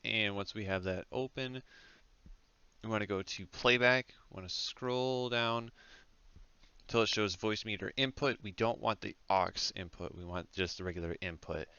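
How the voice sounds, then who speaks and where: Low-voiced man with very crisp, bright delivery. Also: normal speed, no echo, lo-fi sound. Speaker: tutorial host. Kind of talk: casual step-by-step tech tutorial.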